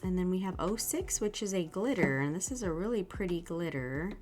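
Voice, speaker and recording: Soft-spoken woman with a sore throat. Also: lowish voice, expressive, soft background music. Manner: soft, gushing, unhurried. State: a little sick, slightly irritated throat. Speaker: nail art channel host. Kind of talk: casual product review chat.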